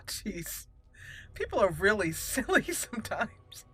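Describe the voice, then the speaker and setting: Female gaming host: crisp bright tone, dry close sound, roleplay commentary. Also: medium pitch, huge pitch swings, takes it slowly, no room sound, faint music behind.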